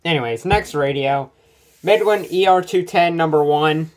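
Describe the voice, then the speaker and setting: A woman's voice: low-pitched, moderate pace, darkish tone. A woman giving a casual, sweary show-and-tell as host of a collection video, blunt and easily irritated.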